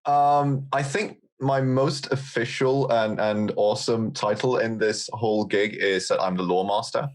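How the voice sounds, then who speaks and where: Low-pitched man in casual conversation. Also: steady tone, a little slow, hardly any pauses. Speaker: guest, audio drama lore editor. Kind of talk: casual podcast chat.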